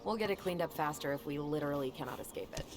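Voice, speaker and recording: An actress playing a brisk, friendly young woman in a video game, casual and practical with a teasing side. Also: sits low, lightly acted. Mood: playful. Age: young adult. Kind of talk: naturalistic video game acting.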